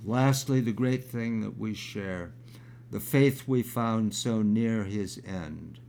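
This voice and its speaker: Poet, man in his 60s, deep and gravelly, unhurried reading of his own verse. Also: a little mellow, a little hiss or hum. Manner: halting with fillers when talking, measured when reciting. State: crackly, gravelly voice.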